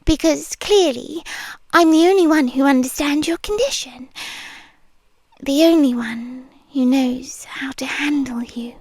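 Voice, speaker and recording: A British woman voicing a possessive, controlling nurse in an ASMR roleplay, speaking in a slow, soft, intimate whisper close to the ear. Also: high-pitched, no room sound, poor audio. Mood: coaxing.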